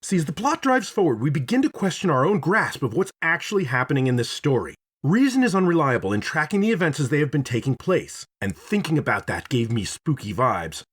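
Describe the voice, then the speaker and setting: Husky, lively man narrating a video essay. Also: on the high side. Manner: dramatic, playful, emphatic phrasing with drawn-out words. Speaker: video game reviewer.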